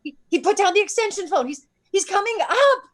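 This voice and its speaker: A woman's voice: very high voice, animated, a little fast. A woman playing a frantic, bedridden invalid who stammers and repeats herself in terror, in old-time radio suspense style. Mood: desperate panic. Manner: stammering, repeating words in panic.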